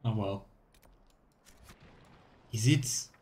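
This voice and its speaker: A man's voice: on the low side, extremely slow, a little mellow. A man in his thirties giving let's play commentary, musing to himself in short remarks and reading game text aloud. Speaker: game streamer. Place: small room indoors at home. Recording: clear background noise, game ambience.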